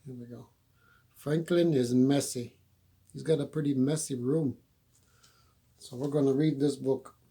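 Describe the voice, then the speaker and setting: A man's voice: medium pitch, fairly even intonation, a little slow, long pauses, warm tone, breathy edge. A man hosting storytime, reading a children's book and adding folksy, chatty asides. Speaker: storyteller and host.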